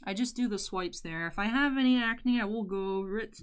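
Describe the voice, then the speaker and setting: Chatty young woman with a deeper voice. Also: fairly quick, clean tone. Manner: chatty, rambling, candid. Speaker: YouTuber. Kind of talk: casual makeup tutorial chat.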